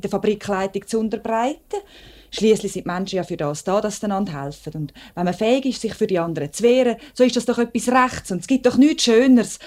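A woman acting as a worker's loyal wife with a slightly high voice and a Swiss German accent. She reasons firmly and earnestly, full of conviction, in the style of a 1950s radio play. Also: darkish tone, lightly acted.

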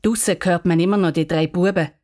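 A woman's voice: on the low side, fairly even intonation, fairly quick, a little mellow. A woman with a Swiss accent narrating a scripted children's story. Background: music.

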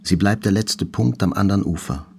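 An actor playing a writer's brooding inner voice in a grave, contemplative literary monologue. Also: male voice, sits low, brisk, warm tone, a little hiss or hum. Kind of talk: literary monologue in audio drama. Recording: clear music behind the voice.

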